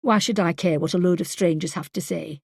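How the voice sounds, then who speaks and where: A woman voicing a cold, detached middle-aged husband who answers in flat, clipped lines. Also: high voice, a little fast, vocal fry, breathy edge, no echo, studio quality, pitched below the performer's own voice, heavily acted. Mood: dismissive.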